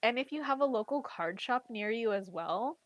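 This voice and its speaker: A woman's voice: fairly high pitch, expressive, normal speed, no room sound. A woman chatting casually about playing a card game, with a congested voice from a cold and a habit of saying "like" and "literally". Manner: chatty, heavy use of "like" and "literally". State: congested, getting over a cold. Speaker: trading card game player and content creator. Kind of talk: casual chat.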